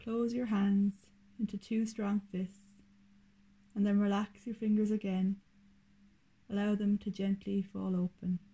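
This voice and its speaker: Woman guiding meditation, very mellow soft tone. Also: medium pitch, level tone, rough recording. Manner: slow, soothing, deliberate delivery of short phrases. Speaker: meditation guide. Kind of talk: guided meditation narration.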